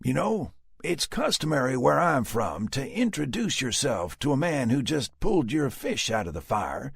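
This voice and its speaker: Dallas is a hard-bitten southern survivor with a slow, sneering Southern US drawl, as a male narrator puts him on. His pitch climbs well above the reader's own low voice, and a gravelly edge clings to every word. Each line sounds blunt and sardonic. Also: sing-song, no room sound, studio quality, exaggerated voice. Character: gruff southern man, tough, sardonic.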